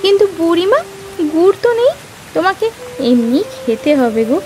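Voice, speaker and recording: A high-voiced humble village wife. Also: female voice, sing-song, moderate pace, background noise. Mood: apologetic. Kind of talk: children's moral cartoon dialogue.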